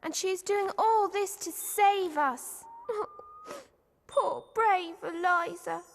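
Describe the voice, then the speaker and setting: A woman voicing a tender, earnest young prince in an animated fairy tale. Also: very high-pitched, drawn-out words, gravelly, full character voice. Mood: worried, tender. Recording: long reverb, faint noise.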